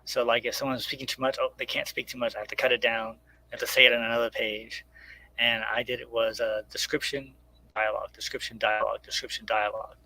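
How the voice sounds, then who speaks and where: A man's voice: mid-range voice, little pitch movement, fast, vocal fry, pushed and bright, rough recording. A man speaking casually as an interviewed writer, explaining step by step and acting out imagined remarks as he goes. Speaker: guest comic book writer.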